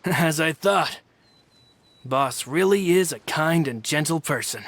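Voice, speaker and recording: A man's voice: fairly high pitch, takes it slowly, a bit bright, no room sound, heavily acted. An actor playing a loyal, respectful male subordinate in a video game, earnest and deferential. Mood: gentle. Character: loyal, respectful young male henchman reporting to boss.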